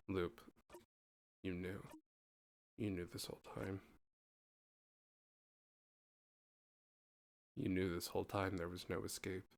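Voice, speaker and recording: A man's voice: a bit bright, a little hiss or hum. Man voicing a frantic time-looped traveller. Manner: rising frantic questioning, voice climbing in pitch. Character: anxious young male traveller trapped in a time loop, spiralling. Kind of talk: fan voice acting of game dialogue.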